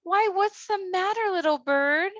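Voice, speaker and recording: A woman's voice: very high voice, a little slow, fairly bright tone, dry recording, dull audio, voice pushed up. A woman voicing a tiny storybook girl, sweet, eager and well-mannered. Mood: concerned.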